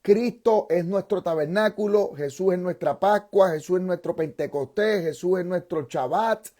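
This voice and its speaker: A pastor hosting an interview, a man with a mellow, somewhat high voice. He speaks fervently and keeps returning to phrases of praise. His delivery moves between preaching and friendly questions. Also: normal speed.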